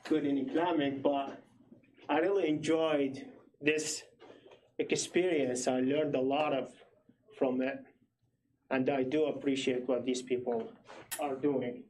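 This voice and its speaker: A man's voice: medium pitch, fairly even intonation, darkish tone, a little hiss or hum, low-quality recording. A man from Iraq, a PhD student in his thirties, gives a hesitant, explanatory research presentation at a public meeting. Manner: slow, hesitant, explanatory, filler-heavy.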